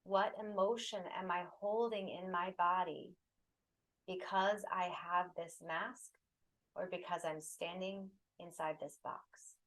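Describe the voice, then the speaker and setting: A woman leading a guided meditation, giving soothing, unhurried instructions in short phrases with pauses between them. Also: fairly low pitch, fairly even intonation, fairly bright tone, rough recording.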